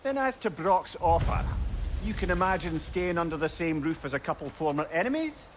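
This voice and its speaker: A chatty adviser from a video game, wry and eloquent. His voice sits a little high and moves with lively swings, over a faintly noisy background. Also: normal speed. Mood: wry, curious. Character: wry, chatty, sociable male companion and adviser. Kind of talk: video game character acting.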